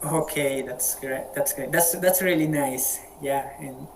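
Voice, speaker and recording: Colombian man moderating, halting and repetitive. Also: medium pitch, fairly even intonation, vocal fry, slight background noise. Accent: Colombian Spanish. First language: Spanish. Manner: friendly, halting with frequent word repeats. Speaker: meeting moderator. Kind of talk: casual online group chat. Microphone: video call.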